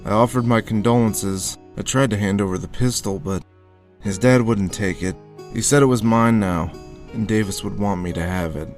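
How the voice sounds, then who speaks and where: An actor playing a grim, haunted war veteran, telling his story deliberately in a noir audio drama. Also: on the low side, soft background music, lightly acted. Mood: somber, haunted. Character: haunted hard-boiled male war veteran recounting his grim past.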